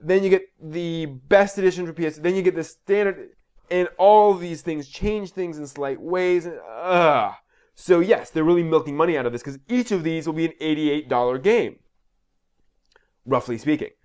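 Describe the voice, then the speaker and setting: A man's voice: on the high side, moderate pace, darkish tone, clear, resonant tone. A man hosting an explainer video, speaking in an informal, conversational way with emphatic stresses and asides that trail off.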